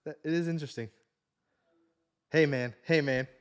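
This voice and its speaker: A man's voice: on the high side, fairly even intonation, normal speed, clear, resonant tone. A man hosting a casual podcast chat, bantering in slang with friends. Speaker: podcast host.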